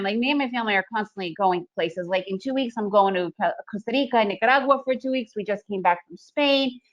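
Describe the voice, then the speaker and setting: A woman in her forties, originally from the Soviet Union and raised in the US, presents a day trading webinar in an energetic, chatty way, often checking in with "right?". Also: on the high side, lo-fi sound. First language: Russian.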